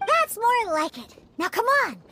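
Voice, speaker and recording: A tiny, determined little girl from a video game, extremely high and swinging in pitch. She is bossy and insistent, with noise clearly behind her. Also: big pitch swings, a little slow, full character voice. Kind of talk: video game cutscene voice acting.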